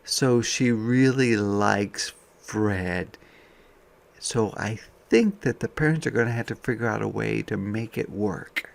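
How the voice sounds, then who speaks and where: A man reading a picture book aloud to young children as a teacher, expressive, with softer conversational asides. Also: on the low side, slow pace, vocal fry.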